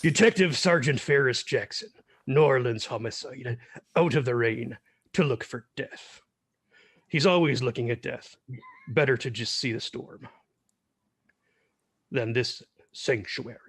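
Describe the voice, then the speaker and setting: A man playing a somber noir narrator, reading grim comic-book captions in a measured, theatrical way. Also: medium pitch, breathy edge. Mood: grim, brooding.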